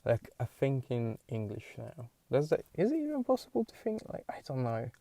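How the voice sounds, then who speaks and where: A young man with a Hungarian accent, a podcast host thinking aloud in a halting, searching way with many restarts. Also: mid-range voice, huge pitch swings, gravelly, warm tone, no echo. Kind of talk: solo musing monologue.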